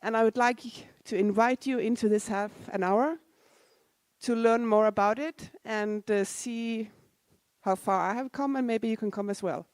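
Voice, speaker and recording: A woman's voice: on the high side, moderate pace, a little mellow, dry recording. Chatty Danish-born woman speaker, dry sound. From Denmark. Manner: chatty, self-deprecating, audience asides. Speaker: conference speaker. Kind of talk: casual conference talk. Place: conference hall.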